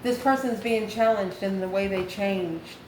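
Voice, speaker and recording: A woman's voice: medium pitch, measured pace. A woman from the United States giving a casual, off-the-cuff tarot reading, musing aloud and answering her own questions. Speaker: tarot card reader. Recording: echoey room, clear background noise.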